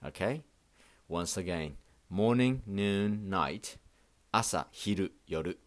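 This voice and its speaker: Deep-voiced man teaching slowly. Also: lively intonation. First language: Japanese. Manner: repeats words slowly for learners, frequent "okay?" checks. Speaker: podcast host teaching Japanese. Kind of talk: casual language lesson.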